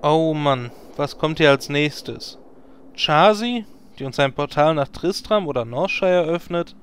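A man's voice: on the high side, animated, unhurried, a bit bright, clean tone. A man playing a jaded, sarcastic gamer hero in a parody audio drama, grumbling wearily. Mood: exasperated, sarcastic. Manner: weary, sardonic grumbling.

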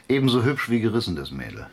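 An actor playing a smug, sardonic schemer in a naturalistic German radio drama, with a taunting manner. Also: male voice, fairly low pitch, breathy edge. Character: Nico, smug, sardonic, scheming man in a wheelchair.

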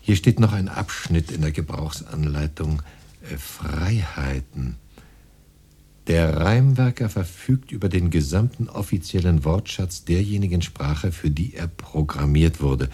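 An actor playing a modest, eager and fussy poet in a German radio drama, husky and musing, muttering to himself. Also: male voice, sits low, animated, measured pace, warm tone, exaggerated voice. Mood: explanatory. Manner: musing, hesitant, muttering as he reads.

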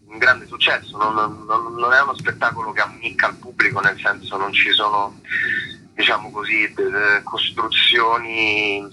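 A man's voice: on the low side, little pitch movement, moderate pace, pushed and bright, a little hiss or hum, poor audio. A man, an actor giving reflective, conversational interview answers and searching for his words. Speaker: actor being interviewed about a play.

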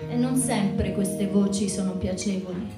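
A woman's voice: medium pitch, a little slow, over loud music. A woman delivering a scripted literary monologue as the narrator of a spoken-word show. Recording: roomy echo, distant mic.

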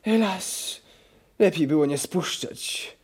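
A man's voice: a little high, takes it slowly, slightly rough. An actor playing a fretful, obsessive aristocrat in a theatrical radio play, prone to repeating his words. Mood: uneasy, reminiscing. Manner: obsessive stuttering word repetition. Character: fretful, obsessive old-fashioned aristocrat feigning madness.

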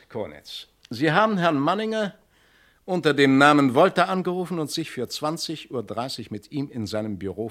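An actor playing a composed, methodical police inspector, clipped and authoritative, in a 1960s German radio crime drama. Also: male voice, on the high side, a bit bright. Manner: matter-of-fact, clipped, authoritative.

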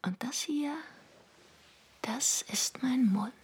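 An actress playing an enigmatic, languid woman from a regimented future in a German radio play, with halting, pensive phrasing. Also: high-pitched. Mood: quiet, musing. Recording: radio drama with loud music bed. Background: prominent music.

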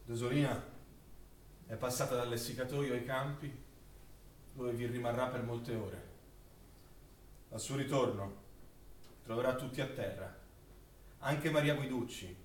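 An actor playing a solemn narrator who recounts wartime deaths in a deliberate, declamatory stage manner. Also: male voice, mid-range voice, distant mic, close to an everyday voice. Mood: somber, quiet. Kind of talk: dramatic scripted stage narration. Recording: echoey, off-mic recording with faint noise.

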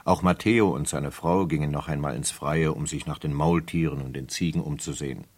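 A man narrating a radio mystery drama in a measured, literary way. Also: rumbling low, fairly even intonation. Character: measured male storyteller of a mystery tale.

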